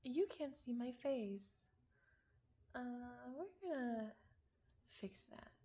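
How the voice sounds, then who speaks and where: A woman livestreaming a visual novel, chatting casually and reading game text aloud in an excitable, halting way, often repeating phrases. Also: high-pitched. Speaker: streamer playing a visual novel. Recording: dull lo-fi stream audio.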